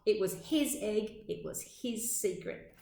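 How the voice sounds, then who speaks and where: A woman reading a children's storybook aloud like a teacher, with measured, expressive, dramatic phrasing. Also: mid-range voice, creaky. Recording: echoey room sound.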